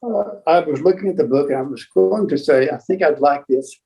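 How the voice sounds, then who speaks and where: An older man telling casual anecdotes as a guest book collector, in a rambling, halting way. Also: on the high side, creaky, mellow, rough recording. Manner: rambling, halting raconteur who acts out remembered exchanges. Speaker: museum founder and book collector, guest storyteller.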